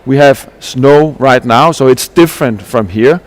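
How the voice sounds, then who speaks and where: A man's voice: mid-range voice, a little slow. A man from Denmark, giving an earnest conference presentation as a landscape architect, explaining ideas with restarts and rhetorical questions.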